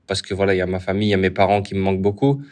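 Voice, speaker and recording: A young man, a footballer, answering interview questions casually with many restarts. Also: sits low. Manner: relaxed, halting, many restarts. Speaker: footballer guest.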